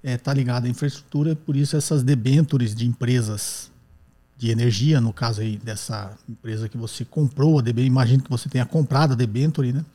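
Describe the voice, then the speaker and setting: A man hosts a podcast and answers a listener's question in an explanatory way. His voice is mellow and warm at an ordinary middle pitch, and he keeps checking in with a quick "right?" as he walks through each point. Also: fairly even intonation. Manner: explains step by step, often tags sentences with "né?".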